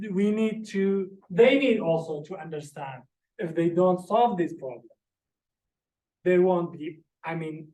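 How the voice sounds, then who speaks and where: A man's voice: high voice, darkish tone. A man giving an academic lecture from slides, earnestly explaining each term one by one. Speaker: visiting lecturer. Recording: roomy echoey room.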